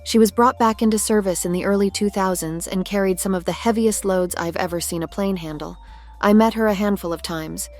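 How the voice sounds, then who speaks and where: A woman voicing a genial, gently teasing middle-aged military cargo plane in genteel, scripted cartoon dialogue. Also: medium pitch, a little fast, soft background music, close to an everyday voice. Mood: wistful.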